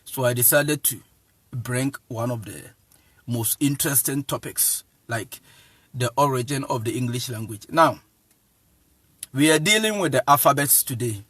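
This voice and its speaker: A man's voice: mid-range voice, expressive, normal speed, fairly bright tone, no echo. A man with a Ghanaian accent giving an informal lecture to viewers in an emphatic, repetitive way, phrase by phrase. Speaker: online evangelist and teacher.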